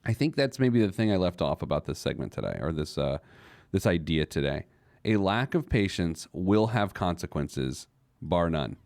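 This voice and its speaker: Low-voiced man with animated, swooping delivery. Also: moderate pace, gravelly, no room sound, clean studio sound. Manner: emphatic, rhetorical questions, joking asides. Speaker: personal finance show host. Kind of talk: conversational financial advice monologue.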